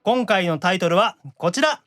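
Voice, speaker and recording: A male podcast host moves between scripted segment intros and teasing banter. His pitch is very animated, and music plays behind him. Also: normal speed.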